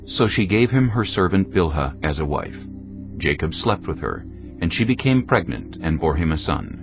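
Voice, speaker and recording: A man's voice: low-pitched, sing-song, normal speed, vocal fry, highs cut off. A man narrating a scripted audio Bible. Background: music.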